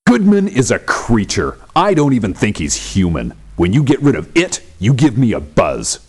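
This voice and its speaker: An actor playing a brash, sarcastic mercenary locksmith in a video game, with a scornful way of talking. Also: male voice, mid-range voice, wildly swooping pitch, moderate pace, a little mellow, husky. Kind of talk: video game character voice lines.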